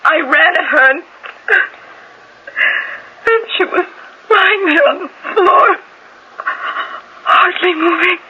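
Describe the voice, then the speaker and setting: An actress playing a guilty, defensive wife cornered for murder in a radio mystery drama, melodramatic and halting under pressure. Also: female voice, very high-pitched. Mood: anguished, confessing. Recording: noisy vintage radio recording.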